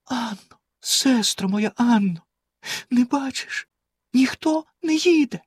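A man's voice: sits high, sing-song, a little slow, slightly rough, no echo, clean studio sound, voice pushed up, heavily acted. A man voicing a frightened young wife who begs and pleads in a raised, tearful voice. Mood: desperate.

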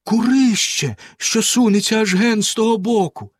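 A man doing a gentle young sister, lilting. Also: sits high, moderate pace, husky, no room sound, studio quality, voice pushed up.

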